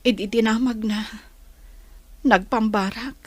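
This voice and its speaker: An actress playing an abandoned, bitter young wife with a husky voice in a radio drama. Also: female voice, on the high side, steady tone, unhurried, no echo. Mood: bitter, downcast.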